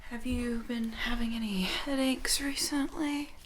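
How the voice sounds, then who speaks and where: A woman speaking softly and gently as a doctor in an ASMR checkup roleplay, often slipping into a whisper, close to the microphone. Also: on the high side, fairly even intonation, a little slow, a little hiss or hum. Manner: soft-spoken, gentle, often dropping into whisper. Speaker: ASMR performer playing a doctor. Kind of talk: soft-spoken ASMR medical roleplay.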